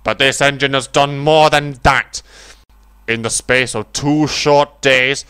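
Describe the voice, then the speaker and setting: A man's voice: mid-range voice, steady tone, slow, bright, forceful tone, smooth, no room sound, pitched above the performer's own voice. A man voicing a stern, fair-minded male railway boss in a children's story, speaking formally and emphatically. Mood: grave, formal. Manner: formal, emphatic speech-making.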